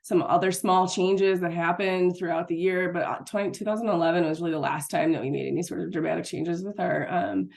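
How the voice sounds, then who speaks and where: A woman presenting in a webinar, her voice raspy as she recovers from an illness, talking in a halting way with frequent ums and self-corrections. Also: fairly low pitch, darkish tone. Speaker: city parking program manager presenting.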